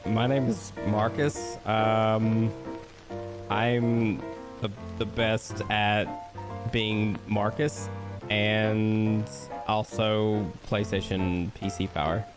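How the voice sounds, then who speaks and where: A man's voice: on the low side, level tone, drawn-out words. A man co-hosting a gaming podcast, explaining things in a laid-back, conversational way. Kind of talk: casual chat during a game let's play. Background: music playing behind the voice.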